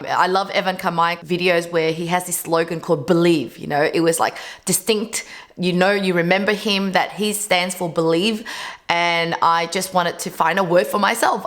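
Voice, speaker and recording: A woman in her thirties, originally from Vietnam, speaking English as a second language. She talks straight to camera in a slightly low voice, earnest and chatty, with plenty of fillers, like a business owner sharing advice on her channel. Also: a bit bright. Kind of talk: casual to-camera talk on books.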